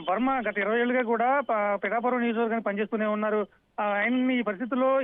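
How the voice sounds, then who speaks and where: Fast, high-pitched male reporter on the phone. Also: clear, resonant tone, dry recording. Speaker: field news reporter. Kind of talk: unscripted live news report. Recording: dull, dry phone line.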